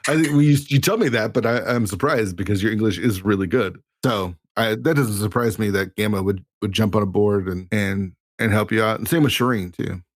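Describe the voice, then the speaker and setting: A man hosting a casual podcast interview, relaxed and encouraging, often repeating words. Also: lowish voice, brisk, few pauses, no room sound. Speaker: podcast host.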